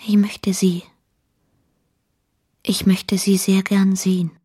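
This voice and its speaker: Whispering, breathy, ailing young wife. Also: female voice, mid-range voice, level tone, slow, warm tone. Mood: tender longing. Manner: tender, faint, pleading. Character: gravely ill, childlike, tender young wife. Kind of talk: German radio drama acting.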